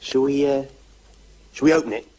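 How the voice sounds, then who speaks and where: A man's voice: medium pitch, steady tone, moderate pace, mellow, natural voice. A man playing an eager, deferential police sergeant in a radio comedy. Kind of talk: 1980s British radio comedy acting.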